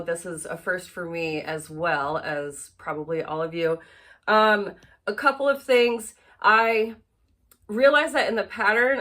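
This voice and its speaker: A woman's voice: mid-range voice, a bit bright. A woman teaching a sewing project in a casual, chatty way, a little congested and nasal from allergies, with frequent fillers and restarts. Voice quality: slightly nasal. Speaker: sewing instructor. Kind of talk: casual sewalong tutorial.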